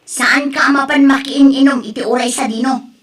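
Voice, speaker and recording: A woman playing a sharp, reproachful young wife who scolds her husband in an audio drama. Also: sits high, fairly even intonation, moderate pace. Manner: nagging, scolding.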